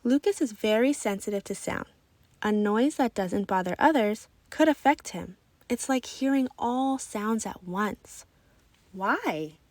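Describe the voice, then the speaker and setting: A woman reading a children's story aloud in expressive, scripted narration. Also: a little high, unhurried, no room sound. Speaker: storybook reader.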